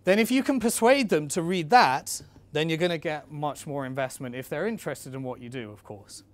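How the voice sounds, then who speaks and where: A man's voice: on the high side, animated, brisk. A man giving an informal instructional talk as a presenter, conversational and coaching, speaking directly to his listeners.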